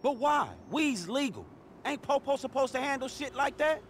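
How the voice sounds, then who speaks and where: A man's voice: very high-pitched, expressive, moderate pace. A man playing an irritable, skeptical, foul-mouthed street-raised guy in a video game cutscene, with an American accent and curt, slangy delivery. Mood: doubtful. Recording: clear vehicle and street noise.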